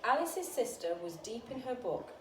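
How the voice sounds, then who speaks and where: A woman reading a children's bedtime story aloud in an expressive, dramatic storytelling style. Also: a little high, moderate pace. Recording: roomy, distant mic with faint noise.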